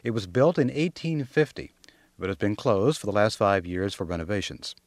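A male radio news presenter introduces the segment in a crisp, matter-of-fact way. His voice sits fairly low. The recording is dry and close. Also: normal speed, no room sound.

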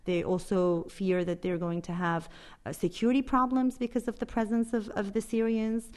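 A woman's voice: mid-range voice, level tone, moderate pace, a little mellow. A woman speaking as a reporter in an interview, explaining things in an earnest, emphatic way. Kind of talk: spoken radio interview, explanatory.

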